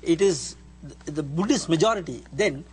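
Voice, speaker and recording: A middle-aged man with a Sri Lankan accent in a heated panel debate, speaking haltingly and repeating words. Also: fairly high pitch, lively intonation, breathy edge. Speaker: panel guest. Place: TV studio round table. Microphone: lapel.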